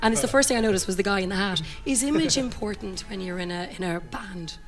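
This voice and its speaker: Hoarse, quick Irish woman interviewer. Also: mid-range voice, faint music behind. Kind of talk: casual interview.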